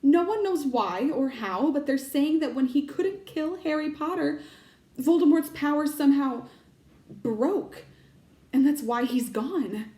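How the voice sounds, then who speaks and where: A woman reads aloud as a stern older witch professor from a children's storybook. The voice is high and emphatic, exasperated and quick to falter with worry. The room around it is very echoey. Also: dark tone. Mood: shocked, grieving.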